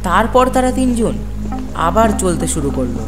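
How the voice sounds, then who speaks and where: Narrator for a cartoon ghost story: expressive, swinging intonation, dramatic, faint music bed. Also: mid-range voice, normal speed.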